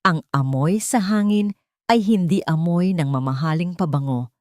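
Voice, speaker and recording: Low-voiced Filipino woman narrating, dry sound. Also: normal speed, darkish tone, no room sound. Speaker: story narrator. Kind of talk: dramatic scripted narration of a love story.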